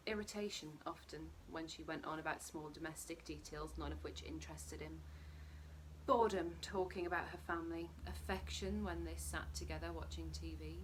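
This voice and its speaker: Female storyteller, lowish register, expressive literary read with hushed phrase endings, some background noise. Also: rough recording. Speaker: story reader. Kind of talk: narrated short fiction reading.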